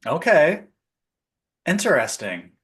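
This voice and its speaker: A man's voice: fairly high pitch, sing-song, slow, fairly bright tone. A man hosting a casual spiritual interview podcast, enthusiastic, laughing often and repeating his affirmations. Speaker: podcast host.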